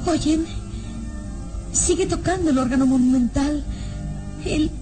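A woman's voice: sits high, takes it slowly. An actress playing an anxious, fragile young woman in a melodramatic radio serial, with hesitant, broken phrasing. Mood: distressed, bewildered. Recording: radio drama over loud music.

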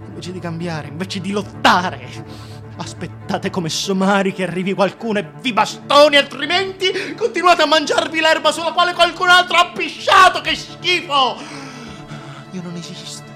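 High-pitched, volatile tyrant king. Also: expressive, moderate pace, soft background music, heavily acted. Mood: bitter, accusing. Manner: declamatory, volatile, contemptuous, swinging from hushed to shrill. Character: vain, self-pitying tyrant king ranting and justifying himself. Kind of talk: theatrical radio drama monologue.

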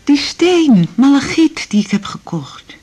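A woman's voice: sits high, big pitch swings, a little slow, mellow. A woman acting in a radio drama as a naive, chatty patient who rambles and complains matter-of-factly. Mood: chatty, complaining.

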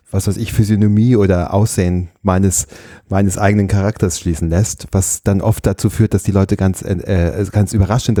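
Deep, dark-voiced man musing slowly. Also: no room sound, studio quality. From northern Germany. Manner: reflective, searching for words, long winding sentences. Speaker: guest, illustrator and painter. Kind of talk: casual interview. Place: studio.

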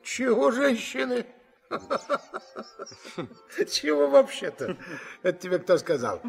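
Hearty, gravelly fisherman father. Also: very high-pitched, very animated, slow, long reverb tail, soft background music, heavily acted. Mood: amused, challenging. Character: hearty fisherman father, gruff but jovial family man. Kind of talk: Soviet radio play acting.

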